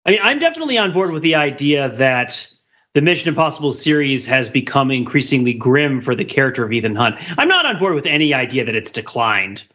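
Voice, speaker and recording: A man co-hosting a podcast, discussing film casually in a digressive, animated, argumentative way with mock-exasperated emphasis. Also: medium pitch, fast, lo-fi sound. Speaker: podcast co-host.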